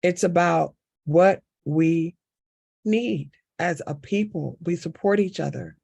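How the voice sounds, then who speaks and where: A woman's voice: on the low side, takes it slowly, vocal fry, dark tone, dry recording. A woman from the American South, a city council candidate, answering a panel question with passion and repeating key words for emphasis. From Southern United States.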